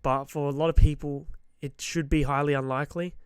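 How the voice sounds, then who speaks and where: A man talks straight to the listener about trading mindset, blunt and emphatic, hammering the same points again and again. His voice is a little hoarse from a cold and he clears his throat often, but the tone underneath stays smooth. The sound is close and dry, with no room around it. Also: on the high side, steady tone, brisk, dry recording. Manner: direct, emphatic, repeats key points. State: slightly hoarse from being sick. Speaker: forex trader giving advice. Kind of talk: motivational trading-psychology talk to camera.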